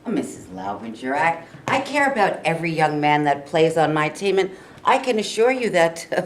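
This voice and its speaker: A woman playing an earnest, reassuring high school football coach in a staged reading, with a measured, persuasive delivery. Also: very animated, slight background noise. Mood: defensive, persuasive.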